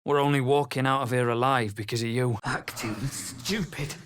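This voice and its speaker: A man playing a rough, angry young peasant in a video game, speaking bluntly and urgently. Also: mid-range voice, steady tone, moderate pace, fairly bright tone, dry recording, clean studio sound, close to an everyday voice. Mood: gruff, wary.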